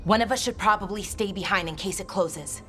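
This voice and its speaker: An actress playing a curious, thoughtful scholarly adventurer who explains things in a video game. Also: female voice, mid-range voice, brisk, fairly bright tone, soft background music, close to an everyday voice.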